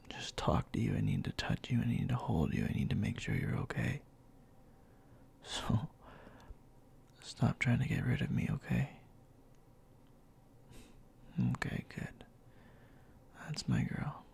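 A man playing a gruff, worried and tender young boyfriend in an ASMR roleplay, speaking softly and haltingly in a breathy, gravelly half-whisper. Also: mid-range voice, full character voice. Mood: insistent, caring. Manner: soft, halting, intimate.

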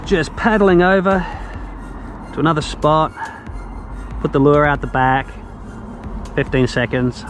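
A man in his thirties with a New Zealand accent chats loosely and keenly, full of slang, as he fishes. He is outdoors on a lake, picked up by a camera clipped to his kayak. Music plays clearly behind him. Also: on the high side, a little slow, pauses often, a bit bright. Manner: laid-back, slangy and enthusiastic. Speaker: fishing vlogger. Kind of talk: casual outdoor vlog. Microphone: action camera mounted on the kayak.

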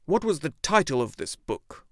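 Man putting on a gruff old bookseller. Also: on the high side, big pitch swings, moderate pace, no room sound, studio quality, voice pushed up. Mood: suspicious. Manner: gruff, puffing a pipe. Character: old grumbling male bookseller. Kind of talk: audiobook character voice.

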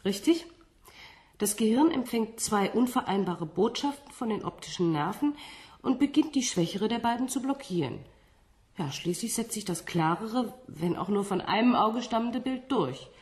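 An actress in a radio play, playing a composed woman scientist who explains things in a measured, slightly condescending way. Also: on the high side, animated, a little mellow, natural voice. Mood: patient, superior. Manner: didactic, measured, with small rhetorical tags.

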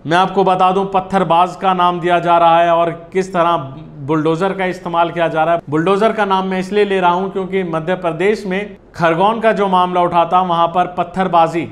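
Male news presenter, 30s, notably high voice, earnest, questioning commentary style. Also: flat delivery, a little fast, hardly any pauses. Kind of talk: opinionated news commentary to camera. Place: small indoor studio, seated at a desk. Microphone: lapel mic.

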